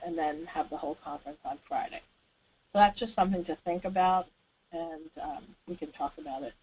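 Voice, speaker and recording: A woman's voice: on the low side, a little mellow. Woman leading a noisy conference call. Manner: tentative, thinking aloud, consultative. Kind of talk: informal meeting talk on a conference call.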